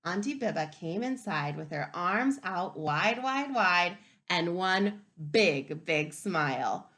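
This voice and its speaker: A woman teacher reading a picture book aloud to young children in an expressive, instructive way, pausing to ask questions. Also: lowish voice. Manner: animated, instructive, asking the listeners questions.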